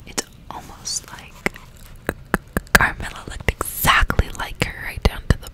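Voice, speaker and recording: A woman reading a story aloud in a slow, hushed, breathy whisper for ASMR. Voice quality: breathy, fully unvoiced whisper.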